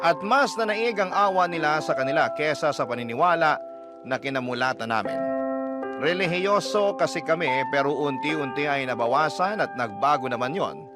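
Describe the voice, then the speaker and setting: An actor playing an earnest, devout young Filipino man, recounting his troubled romance in a measured, confiding radio drama narration. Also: fairly high pitch, animated, close to an everyday voice. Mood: reflective, earnest. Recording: loud music under the voice. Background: loud background music.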